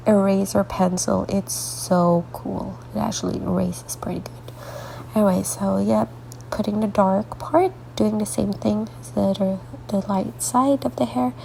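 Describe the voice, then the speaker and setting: Woman, congested and sleepy, deep vocal fry, mellow tone; soft rambling tutorial host who slips into near-whispers. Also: slight background noise, low-quality recording. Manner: soft, rambling, drifts into half-whispered asides. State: congested, stuffy nose; sleepy late at night. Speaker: artist hosting a coloring tutorial. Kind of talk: casual coloring tutorial narration.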